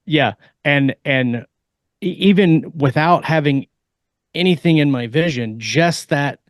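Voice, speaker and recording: A man in a casual podcast discussion. His delivery is halting as he works through his explanation. The sound is dry, with hardly any room on it. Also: a little high, no echo. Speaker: podcast co-host. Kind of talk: casual discussion of military technology.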